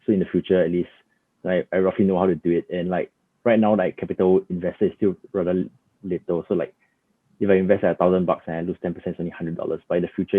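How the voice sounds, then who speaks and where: A man in his twenties with a Singaporean accent, a student answering quickly in an interview and leaning on filler likes. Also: deep voice, husky. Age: 20s. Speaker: university student. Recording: tinny phone-like sound.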